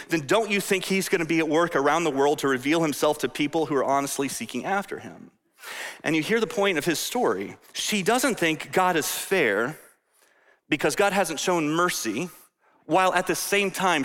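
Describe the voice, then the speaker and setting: A man preaching in a conversational, animated way, tossing asides and questions at his listeners. His voice is bright and forceful and sits a little high. It rings with the long reverb of a large hall. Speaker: pastor. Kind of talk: sermon.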